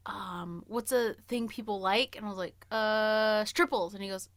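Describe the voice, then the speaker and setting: A woman's voice: mid-range voice, fairly even intonation, measured pace, no echo. A woman in her early thirties co-hosting a podcast, telling a personal story in a chatty, playful, self-deprecating way. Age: early 30s. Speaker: podcast co-host.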